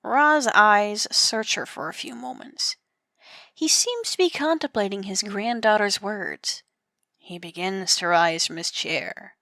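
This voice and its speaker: A woman's voice: mid-range voice, slow, bright, forceful tone, no room sound. A woman giving dramatic scripted narration as the narrator of an audio drama.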